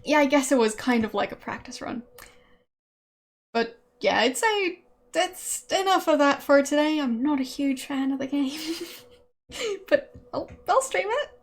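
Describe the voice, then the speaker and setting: A woman's voice: high-pitched, animated, a little slow, long pauses. A woman whose first language is German, chatting casually with viewers while she streams, hesitant and full of fillers and small laughs.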